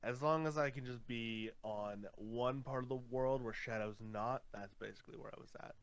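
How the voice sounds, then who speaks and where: A man's voice: medium pitch, normal speed, clear, resonant tone, close to an everyday voice. A man voicing an edgy, brooding jackal villain who is vengeful, sardonic and theatrical, in a fan comic dub.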